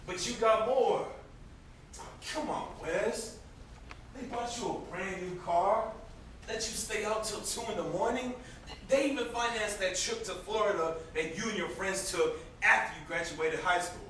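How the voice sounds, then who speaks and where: A man acts out a bitter young brother in an amateur stage drama, with a high voice and biting sarcasm. He sounds distant in a heavily reverberant room, with faint noise behind him. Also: unhurried, a bit bright. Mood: resentful. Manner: sarcastic, confrontational. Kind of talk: amateur stage play acting. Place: indoor theatre stage. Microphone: distant camera microphone.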